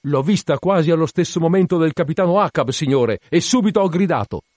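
A man voicing an eager whaling lookout in an audiobook, calling out loudly and deferentially to his captain. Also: a little high, animated, quick pace, no echo, studio quality, voice pushed up. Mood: eager, insistent.

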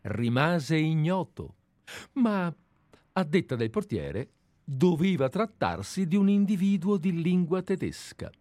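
A man's voice: on the high side, big pitch swings, takes it slowly, darkish tone, no echo. A man reading the part of a fawning, meticulous male amateur investigator in a radio play, talking with effusive enthusiasm. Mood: enthusiastic.

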